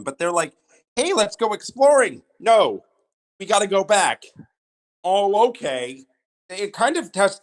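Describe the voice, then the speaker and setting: A man's voice: on the high side, a bit bright. A man giving casual spoken feedback in a tentative way, searching for his words. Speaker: participant giving script feedback.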